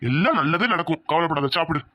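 A woman playing a gentle village husband. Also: deep voice, animated, quick pace, no echo. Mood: reassuring. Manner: soothing, reassuring.

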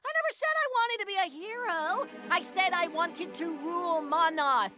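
An actor voicing a small, self-pitying, boastful male villain creature in an animated game, whiny and theatrical. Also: very high voice, moderate pace, over music. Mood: petulant, defiant. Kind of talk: animated game cutscene acting.

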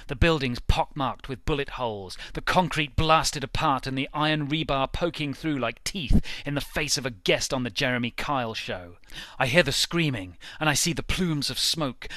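A man giving a theatrical, intense and deliberate dramatic reading of satirical prose. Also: fairly high pitch, sharp tone, no room sound. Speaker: amateur reader.

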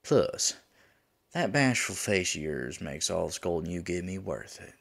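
A man playing a teasing, flirtatious demon lover in an intimate ASMR roleplay, with a drawling manner that drops into breathy murmurs. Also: deep voice, very animated, a little slow, a bit bright, full character voice. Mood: playful, flirtatious. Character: teasing, flirtatious male demon lover, smug yet smitten.

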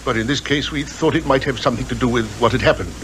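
A man's voice: mid-range voice, fast talker, a bit bright, lightly acted. An actor playing a worried titled father with an upper-class British accent, formal, hesitant and reserved in 1950s radio drama style. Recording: noisy 1950s radio recording.

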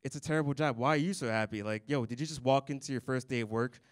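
A man's voice: medium pitch, quick pace, no echo. Young man doing dry stand-up. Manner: deadpan, dry delivery with chuckles at his own jokes. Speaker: stand-up comedian. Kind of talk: stand-up set. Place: comedy club stage. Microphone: handheld mic.

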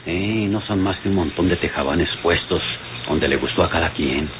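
An actor playing a plain-spoken companion of a fugitive outlaw, in old radio serial style, with a Mexican accent. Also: male voice, deep voice, moderate pace, natural voice. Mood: matter-of-fact. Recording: noisy, tinny old radio serial recording.

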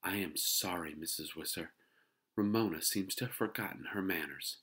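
A man's voice: deep voice, normal speed, sharp tone, breathy edge, exaggerated voice. A man voicing a strict, exasperated mother of a young girl in a children's storybook, firm and scolding. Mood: apologetic.

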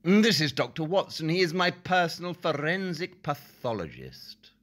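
A man's voice: a little high, sing-song, normal speed, a bit bright. A man playing an arrogant, brilliant detective in an audio drama, speaking precisely and haughtily. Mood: haughty, lecturing.

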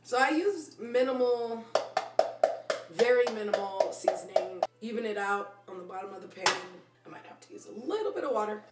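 A woman's voice: on the high side, expressive, normal speed, echoey room, far from the mic, very noisy. A woman in her twenties hosting a casual home cooking vlog, playful and slangy, with a voice worn from use. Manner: playful, slangy, adds silly rhyming endings to words. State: losing her voice. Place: small home kitchen. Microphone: phone camera, close then a few steps away.

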